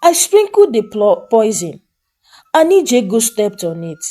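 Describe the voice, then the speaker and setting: A woman's voice: fairly high pitch, sing-song, measured pace, mellow. A woman voicing a jealous, scheming mother of a grown son in a folktale, emphatic and commanding in manner. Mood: defensive.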